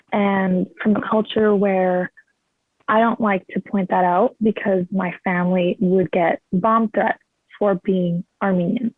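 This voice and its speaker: Thin, telephone-like sound carries a woman's voice at a medium pitch, dry and without room echo. She talks casually and candidly about herself, like a participant in an online meetup. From Canada. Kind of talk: personal sharing in casual talk. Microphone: video call. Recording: muffled phone-like call audio.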